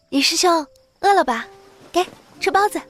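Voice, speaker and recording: Caring junior female disciple, audio drama: extremely high, sweet, slow delivery, faint music. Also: animated, fairly bright tone, exaggerated voice. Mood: sweet, teasing. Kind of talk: Chinese audio drama acting.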